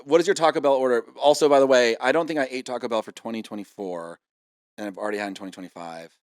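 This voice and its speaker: A man's voice: mid-range voice, fast talker, dry recording, clean studio sound. Laid-back man in his thirties. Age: 30s. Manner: laid-back, rambling with fillers. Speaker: podcast guest. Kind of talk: casual chat. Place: home room.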